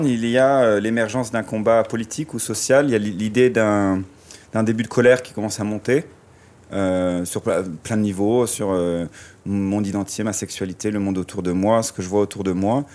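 A man's voice: lowish voice, fairly even intonation, normal speed, darkish tone. A man guest in a radio interview, speaking reflectively with many hesitations and restarts, through a surgical mask. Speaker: musician guest. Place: outdoors in a city street. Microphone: wearing a surgical mask.

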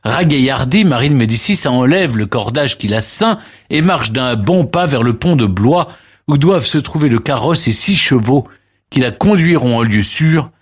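A man hosting a radio history show, narrating past events in a lively, theatrical way with emphatic pauses. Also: medium pitch, breathy edge. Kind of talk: dramatic historical storytelling.

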